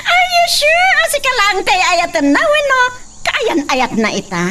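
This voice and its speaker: A woman's voice: extremely high pitch, big pitch swings, moderate pace, recorded from a distance, heavy background noise. A woman playing the heroine's playful best friend who teases her and drops English phrases. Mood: teasing. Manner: teasing, mixes English phrases. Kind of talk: melodrama acting.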